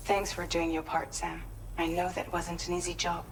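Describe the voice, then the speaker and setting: Composed, lower-pitched woman crew leader played by an actress. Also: monotone, moderate pace, a little hiss or hum, close to an everyday voice, poor audio. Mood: caring, businesslike. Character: caring, composed woman leading a ship's crew. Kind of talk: video game cutscene dialogue.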